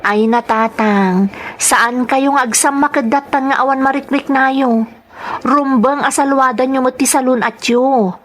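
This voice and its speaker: Woman playing a devoted daughter, high voice, earnest, solicitous, pleading, radio-style drama. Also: steady tone, measured pace. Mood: concerned, chiding. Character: caring, worried adult daughter of ailing parents.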